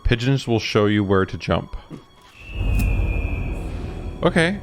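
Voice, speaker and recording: A male gaming host reacts in a chatty, joking way, muttering asides as he goes. His pitch moves around a lot, and music from the game plays clearly behind him. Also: big pitch swings, slow. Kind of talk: casual reaction commentary.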